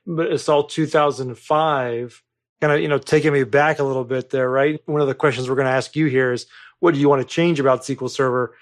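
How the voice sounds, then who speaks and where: A man's voice: mid-range voice, steady tone, fairly quick. A man hosting a casual podcast interview, loose and easygoing, using "kinda" and "you know" as he steers the talk. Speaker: podcast host.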